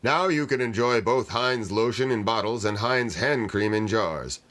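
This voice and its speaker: A man playing a 1940s radio announcer, reading scripted copy in a measured, salesmanlike way. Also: lowish voice, fairly bright tone. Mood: reassuring pitch. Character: smooth-selling male 1940s radio announcer. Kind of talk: scripted radio advertisement read.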